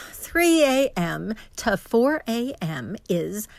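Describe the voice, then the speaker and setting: An actress playing a superstitious, earnest diner waitress with a folksy manner in a radio mystery drama. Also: female voice, medium pitch, sing-song, drawn-out words, creaky, no room sound, full character voice. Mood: earnest warning.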